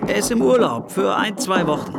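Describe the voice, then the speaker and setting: Radio drama part: a bullish, evasive repairman, terse and dismissive, loud guitar music behind. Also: high-pitched, very animated, a little fast, gravelly, full character voice. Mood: brusque, evasive. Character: gruff, bullish man, evasive bike repairman.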